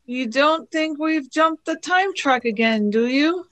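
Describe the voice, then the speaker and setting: A woman playing a girlish, excitable, inquisitive young space traveller in a radio play. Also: high voice, a little slow. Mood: wondering.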